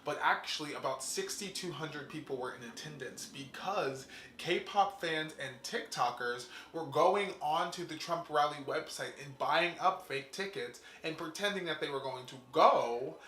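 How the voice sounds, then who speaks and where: A male host riffs through a fast, irreverent comedic monologue, swearing freely before turning to interview a guest. His voice sits somewhat high, and the room around him rings with noticeable echo and a faint hiss. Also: animated, bright, forceful tone. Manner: quick, profane, joking delivery.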